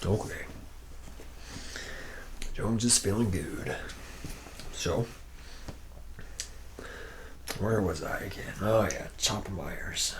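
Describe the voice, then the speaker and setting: A man's voice: lowish voice, slow pace, pauses often, background noise. A man playing a laid-back, drunken womanizer who works at a robot factory, rambling and boasting in slangy, repetitive talk for an ASMR roleplay. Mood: lazy, relaxed. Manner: slack, rambling, boastful, slangy, repeating himself. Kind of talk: ASMR roleplay, soft improvised-sounding monologue.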